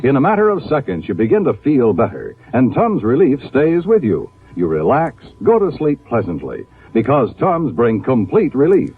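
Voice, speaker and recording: A male announcer delivering an emphatic, polished sales pitch in the style of 1950s radio. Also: huge pitch swings, normal speed, hardly any pauses, slightly rough. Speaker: radio announcer reading a sponsor ad. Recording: narrow-band old radio recording.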